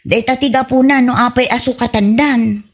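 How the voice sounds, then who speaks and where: A woman's voice: on the high side, steady tone, moderate pace, muffled recording. A woman playing a modest, chatty village wife who exclaims conversationally in a comedy radio drama.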